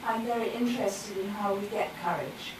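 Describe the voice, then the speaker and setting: A woman's voice: mid-range voice, normal speed. A woman in the audience asking a thoughtful question. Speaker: audience member. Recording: very echoey room, distant mic, noise.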